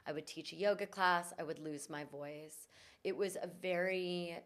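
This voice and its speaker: A woman's voice: fairly low pitch, fairly even intonation, moderate pace, fairly bright tone. A woman guest, a yoga teacher and vocal coach, telling her story in an earnest, reflective way during an interview. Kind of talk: conversational interview. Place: in Bali.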